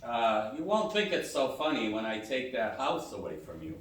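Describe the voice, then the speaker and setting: A man's voice: medium pitch, animated, normal speed, a bit bright, natural voice. A man playing an arrogant, blustering wealthy businessman, domineering and contemptuous, in a live radio play. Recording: echoey hall, far from the mic.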